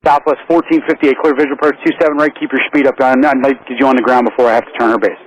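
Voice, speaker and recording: Fast-talking male air traffic controller on radio. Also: mid-range voice, lo-fi sound. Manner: calm, accommodating, businesslike. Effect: aviation radio. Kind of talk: rapid radio instructions to pilots.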